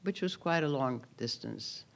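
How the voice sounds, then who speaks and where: Man, 80s, Hungarian accent; memorial keynote testimony, calm, dry understatement. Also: medium pitch, measured pace, creaky. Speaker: Holocaust survivor giving a keynote. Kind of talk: personal testimony speech at a memorial ceremony.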